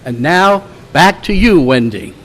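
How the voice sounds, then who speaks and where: A man with a fairly high voice reads a prepared tribute to a gathering. His delivery is very slow, measured and formal, as befits an award presentation. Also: lively intonation, a bit bright, a little hiss or hum. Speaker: club member presenting an award and reading a tribute. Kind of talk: reading a written tribute aloud at a meeting.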